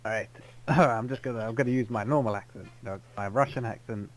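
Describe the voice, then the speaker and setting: A man's voice: mid-range voice, brisk, slight background noise, low-quality recording. A man in online game voice chat, telling a rambling in-character backstory and correcting himself as he goes. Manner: rambling, self-correcting storyteller. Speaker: roleplay player. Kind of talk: in-character roleplay storytelling.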